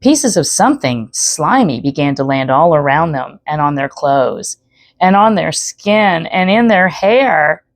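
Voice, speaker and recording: A woman's voice: low-pitched, expressive, measured pace, no room sound. A woman storyteller reading aloud expressively, with chatty asides to the listener. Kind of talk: expressive read-aloud narration.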